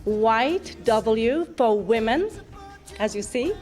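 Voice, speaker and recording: A woman's voice: a little high, expressive, takes it slowly, darkish tone. A woman narrating a documentary clip in an earnest, explanatory way. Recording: video clip with music.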